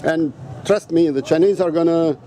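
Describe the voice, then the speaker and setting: A man's voice: on the high side, normal speed, warm tone, a little hiss or hum. A native Afrikaans-speaking man vlogging outdoors in a casual, rambling and blunt way, swearing now and then. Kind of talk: casual rambling vlog talk.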